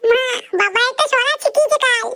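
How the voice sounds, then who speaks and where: A very high, childish cartoon voice of a little schoolboy. He is stubborn and cheeky, argues back and pleads in a whine. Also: fast talker, heavy background noise. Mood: innocent. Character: cheeky, whiny little boy pupil, muddled and stubborn. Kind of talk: Bengali comedy cartoon dialogue.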